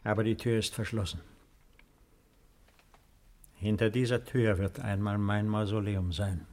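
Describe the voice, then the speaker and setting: An actor playing a solemn, secretive, self-important man with a husky voice in a 1950s German radio drama. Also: on the low side, steady tone, unhurried, darkish tone. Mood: lofty, grave.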